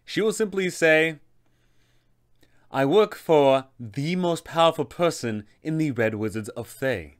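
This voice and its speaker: Clear-voiced young man explaining. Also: medium pitch, fairly bright tone. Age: young adult. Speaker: host, game master advisor. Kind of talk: explanatory tabletop game commentary. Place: small furnished room indoors.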